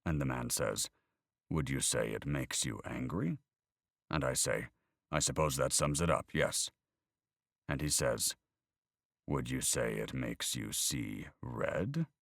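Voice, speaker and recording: A man reading an audiobook, voicing a weary older man who talks in a measured, reflective way. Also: very deep voice, gravelly, fairly bright tone, dry recording, clean studio sound, full character voice. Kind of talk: audiobook character voice.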